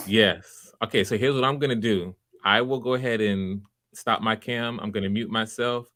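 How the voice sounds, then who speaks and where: A man hosting a live audition stream in a casual, halting way, often repeating words as he thinks aloud. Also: on the low side, steady tone, pushed and bright. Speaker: host running a live voice audition.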